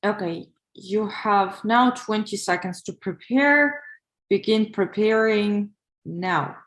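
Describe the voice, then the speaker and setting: A woman's voice: mid-range voice, reverberant, low-quality recording. A woman from Ukraine, a pharmacist preparing for an English exam, speaking carefully with hesitant fillers. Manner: careful, measured, with hesitant fillers while answering. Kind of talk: practice exam answer and casual chat on a livestream.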